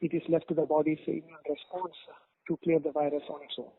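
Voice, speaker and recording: A man speaking as a doctor in a phone interview, giving formal, halting, measured explanations over a narrow phone line. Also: on the high side, level tone, breathy edge. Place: phone call. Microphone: telephone.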